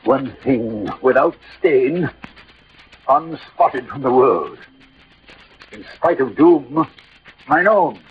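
A man's voice: medium pitch, lively intonation, a little hiss or hum. An actor playing a proud, defiant, poetic dying swordsman in theatrical, declamatory 1940s radio verse style. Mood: proud, solemn. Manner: declamatory, grandiloquent, dramatic pauses. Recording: muffled vintage radio recording, music behind.

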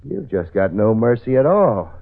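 A man's voice: mid-range voice, big pitch swings, moderate pace, vocal fry. An actor playing a sarcastic hard-boiled male private eye in a 1940s radio drama, narrating and trading dry wisecracks. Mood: wry. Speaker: detective narrator and lead. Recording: tinny old radio broadcast with music.

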